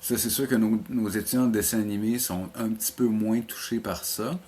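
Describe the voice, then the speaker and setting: Middle-aged male teacher, Quebec French accent, lower voice, conversational interview guest who explains in halting, repeated starts. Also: fairly even intonation, a little mellow, a little hiss or hum. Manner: hesitant, repeats words while explaining. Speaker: college animation teacher and programme coordinator, interview guest.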